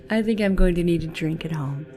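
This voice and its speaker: A woman's voice: lowish voice, warm tone, natural voice. A woman acting understated, dry, battle-worn heroes in a fantasy audio drama.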